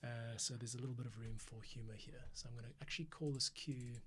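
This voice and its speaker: A man's voice: medium pitch, steady tone, fast, a bit bright, a little hiss or hum. A man hosting a music composition livestream, thinking aloud in short, casual remarks as he works, with music behind him. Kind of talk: casual commentary while working. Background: music, keyboard typing.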